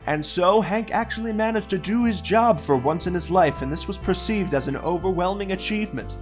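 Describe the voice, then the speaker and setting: A jaded storyteller, played by a man, narrates a comic audio play with sarcastic asides. His voice sits a little high, with faint music underneath. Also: brisk, close to an everyday voice. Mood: sardonic. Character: snarky, jaded male storyteller who hates his job. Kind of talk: comedic scripted narration.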